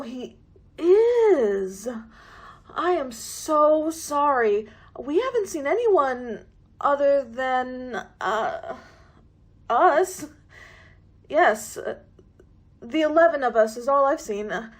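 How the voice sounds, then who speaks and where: A woman plays a sly, sinister radio-play woman with a high voice and very lively pitch. She draws out her mock sympathy in false sweetness. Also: takes it slowly, pauses often. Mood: falsely sweet.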